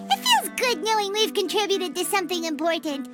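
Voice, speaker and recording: A woman voicing a small, perky, childlike fairy companion in a video game cutscene, speaking of herself by name. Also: moderate pace. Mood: hesitant, earnest. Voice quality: squeaky, childlike. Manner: refers to self by name, animated. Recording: loud music behind the voice.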